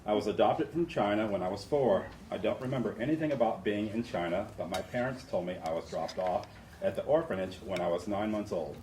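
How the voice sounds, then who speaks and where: A man's voice: on the low side, brisk, a little mellow. A man taking part in a training, reading a first-person character profile aloud to the group. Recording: roomy, distant mic, faint noise.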